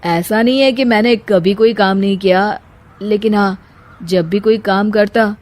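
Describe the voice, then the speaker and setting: A woman's voice: mid-range voice, fairly even intonation, unhurried, darkish tone, natural voice. A woman voicing a simple, meek young husband with a humble, guileless way of talking in a moral story. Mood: sheepish. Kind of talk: Hindi moral story dramatization.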